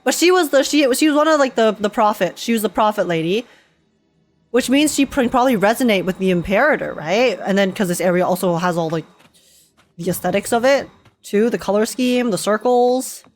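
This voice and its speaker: A young woman giving casual, excitable game reaction commentary, giggly and thinking aloud as she goes. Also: medium pitch, fairly quick. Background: faint game music.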